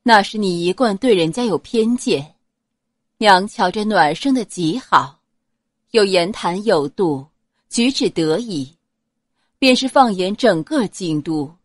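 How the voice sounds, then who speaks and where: A woman voicing a gentle, dignified noblewoman and mother, reasoning softly in an audiobook. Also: medium pitch, no echo, clean studio sound. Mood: fond, wistful.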